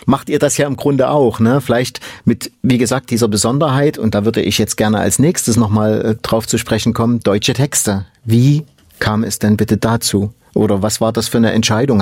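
A man's voice: mid-range voice, darkish tone, no room sound. Man, music interviewer, rambling, filler-heavy, dry close sound. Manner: rambling, many fillers and restarts. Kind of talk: casual interview chat.

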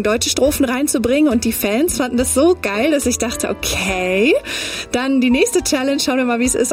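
A woman musician who grew up in Germany with English as her first language, chatting upbeat in an interview and mixing English words into her talk. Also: fairly high pitch. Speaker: musician guest. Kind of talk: casual interview.

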